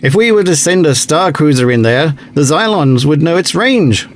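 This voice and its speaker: A man's voice: on the high side, expressive, normal speed, a little hiss or hum, natural voice. A man playing a dutiful junior fleet officer in an audio drama, reporting in an efficient military manner.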